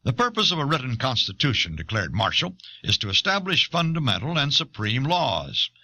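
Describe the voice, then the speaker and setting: An American man reading scripted documentary audiobook narration in a measured, formal, emphatic way. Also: mid-range voice, wildly swooping pitch, gravelly, bright, forceful tone, dry recording, dull audio.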